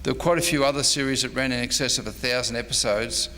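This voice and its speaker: A man's voice: mid-range voice, fairly even intonation, quick pace, bright, forceful tone. A man giving an informal history lecture, reading from notes with casual asides. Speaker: presenter, radio historian. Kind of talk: slide show lecture. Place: convention presentation room. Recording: echoey hall, faint background noise.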